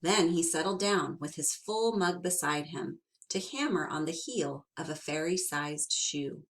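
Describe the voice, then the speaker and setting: Young woman teacher, storybook read-aloud, slow and expressive. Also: low-pitched. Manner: slow and expressive, asks the listeners questions.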